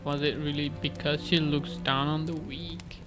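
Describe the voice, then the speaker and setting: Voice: mid-range voice, level tone, normal speed. A streamer giving casual gameplay commentary, chill and musing aloud while playing. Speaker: streamer playing a story game. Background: game music.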